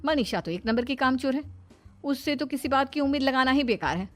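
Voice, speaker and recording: A greedy, scheming young sister-in-law, acted by a woman narrator in a cartoon family tale. She sounds spiteful and jealous, in a fairly high voice over background music. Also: expressive, fairly quick. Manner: spiteful, plotting.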